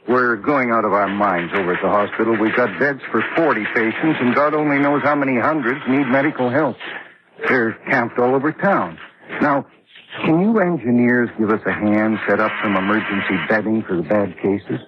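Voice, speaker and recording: An actor playing a harried, businesslike camp doctor in a radio drama. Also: male voice, mid-range voice, normal speed, a loud crowd, close to an everyday voice. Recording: noisy dull lo-fi radio recording.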